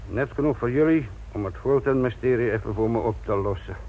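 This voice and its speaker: An actor playing a sardonic, gruff older police instructor in a Dutch radio play, patronising and deliberate in manner. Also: male voice, medium pitch, natural voice. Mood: rueful, dry. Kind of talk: Dutch radio drama acting. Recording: thin, narrow-band old radio recording.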